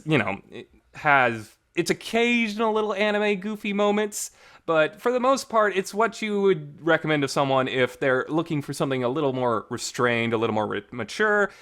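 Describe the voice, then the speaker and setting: A man's voice: a little high, fairly bright tone. A man hosting a casual, rambling review, enthusiastic and emphatic, often restarting his sentences, in a reverberant homemade recording. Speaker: host reviewing visual novels.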